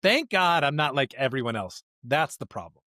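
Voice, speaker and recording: A man co-hosting a podcast in a conversational discussion of scripture, speaking emphatically in halting bursts and often checking in with a rhetorical question. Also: mid-range voice, very animated, sharp tone, no echo, studio quality. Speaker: podcast co-host.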